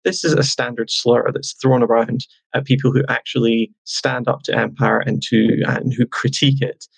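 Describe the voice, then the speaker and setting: A man as a guest journalist, answering in a measured, sardonic way. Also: mid-range voice, fairly even intonation, gravelly, husky, dry recording, poor audio.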